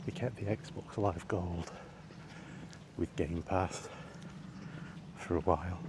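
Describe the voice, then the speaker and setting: A man's voice: low-pitched, slow pace. A British man rambling casually as he walks outdoors, hesitant and mildly grumbling, with outdoor noise around him. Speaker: vlogger. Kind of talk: rambling casual monologue while out walking. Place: outdoors, walking in a park. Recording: noisy outdoor ambience.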